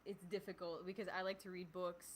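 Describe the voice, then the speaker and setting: A woman's voice: lowish voice, level tone, fairly quick, slight background noise. A woman co-hosting a podcast in casual chat, asking questions with hesitant fillers. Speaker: podcast co-host.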